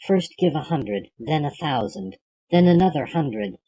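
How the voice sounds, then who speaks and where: A reader of unclear gender reciting classic poetry for an audiobook in a measured, declamatory way. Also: medium pitch, lively intonation, gravelly, dark tone, rough recording. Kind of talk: reading classic poetry aloud.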